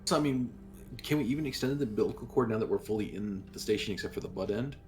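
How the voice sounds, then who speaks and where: A man's voice: lowish voice, quick pace, a little mellow. A man playing a tabletop game, casually reasoning through the next move in conversation.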